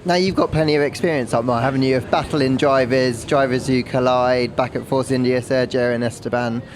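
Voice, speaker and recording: A man's voice: mid-range voice, fairly even intonation, fairly quick. A man giving conversational motorsport analysis as a podcast pundit, recorded outdoors. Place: outdoors in a race paddock. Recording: clear outdoor ambient noise, vehicles.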